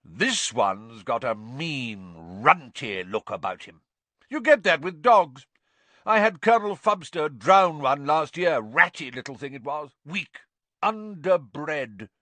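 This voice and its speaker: A man voicing a loud, bullying, drunken middle-aged aunt with a booming, scornful manner. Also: sing-song, moderate pace, no echo, exaggerated voice.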